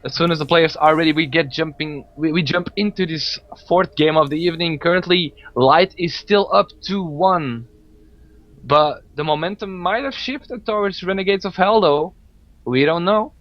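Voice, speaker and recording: A man's voice: on the high side, normal speed. Belgian man casting esports, non-native English. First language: not English. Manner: relaxed, chatty, frequent fillers and laughs. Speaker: esports caster. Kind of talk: live game commentary. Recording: dull lo-fi stream audio, faint music.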